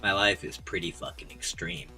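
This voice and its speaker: A man's voice: deep voice, moderate pace, bright, forceful tone. A male gaming streamer, chatting and ranting casually to viewers in a rambling, droning way with many restarts. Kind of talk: casual livestream chat. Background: clear background music.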